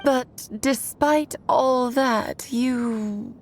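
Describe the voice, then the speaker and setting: A woman's voice: high-pitched, drawn-out words, faint music behind, full character voice. A woman playing a whimsical, affectionate witch friend with an arch, flowery manner in a scripted game drama. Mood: wry, cautioning.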